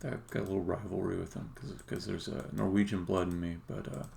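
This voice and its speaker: A middle-aged man with a low, very creaky, gravelly voice talks through a live-coding stream. He rambles in an opinionated way, halting and then leaning hard on his point. A light layer of noise sits behind him. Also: warm tone. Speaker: indie game developer, streamer. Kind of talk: casual live-coding commentary.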